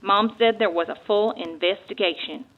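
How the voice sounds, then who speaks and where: A woman's voice: medium pitch, moderate pace. A woman giving a dramatic reading of eyewitness accounts from a book. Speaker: guest reader. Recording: thin, phone-like narrow band.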